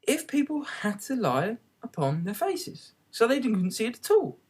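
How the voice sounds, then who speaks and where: A man's voice: very high-pitched, sing-song, unhurried, husky, voice pushed up, heavily acted. A man voicing a polite, plucky young girl in a storybook reading. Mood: musing.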